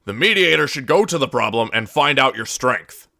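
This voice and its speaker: Bright, creaky man reading scripted monologues. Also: medium pitch, lively intonation, normal speed, no room sound, clean studio sound.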